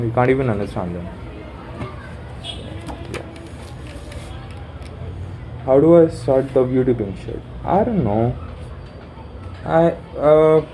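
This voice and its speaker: A young man with a deep voice rambling through his plans aloud, halting and swearing casually, recorded on his phone while sitting in a room corner. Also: poor audio. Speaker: vlogger. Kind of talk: rambling self-talk planning.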